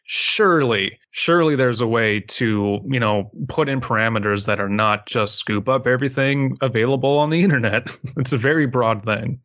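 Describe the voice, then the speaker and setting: A man's voice: mid-range voice, normal speed, hardly any pauses, fairly bright tone. A man co-hosting a podcast in casual discussion, thinking aloud and hedging as he goes. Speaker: podcast co-host.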